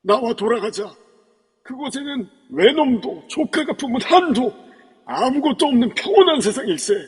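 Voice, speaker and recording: A man's voice: very high-pitched, big pitch swings, moderate pace, husky, heavily acted. A man voicing the spirit of a dead emperor who has taken over a living man, speaking in stern, archaic royal speech. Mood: gentle, persuading. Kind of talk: Korean fan audio drama acting. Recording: echoey long reverb.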